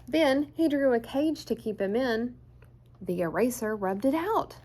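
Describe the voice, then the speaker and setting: A woman reading a children's picture book aloud in an expressive, deliberate storyteller's way. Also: fairly high pitch, slightly rough. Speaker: children's story time host.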